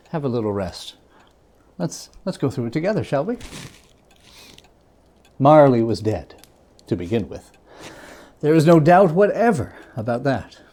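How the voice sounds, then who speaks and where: A man's voice: a little high, warm tone. A man reading a classic story aloud as a dramatic narrator, theatrical and given to chatty asides to the listener.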